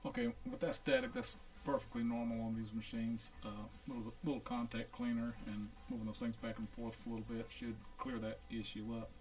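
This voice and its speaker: A man talking through a hands-on equipment check as a repair technician, in a casual, unhurried, halting way, thinking aloud. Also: medium pitch, lively intonation, poor audio. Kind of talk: casual hands-on demonstration narration.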